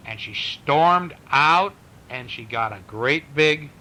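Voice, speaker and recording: A man reading a bedtime story at home in a conversational, expressive way, with casual asides to a child. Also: slow. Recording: noisy home recording with low rumble. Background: small child chiming in.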